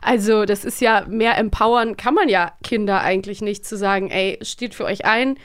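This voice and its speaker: A woman's voice: medium pitch, fairly even intonation, normal speed, a bit bright, smooth. A woman in her thirties co-hosting a podcast, chatting casually with enthusiasm and emphasis. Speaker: podcast host. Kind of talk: casual chat about radio plays.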